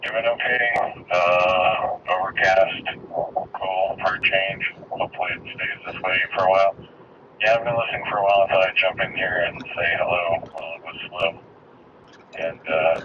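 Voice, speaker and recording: A man calling in on ham radio, easygoing and matter-of-fact. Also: sits low. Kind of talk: radio chat. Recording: dull radio audio with noise.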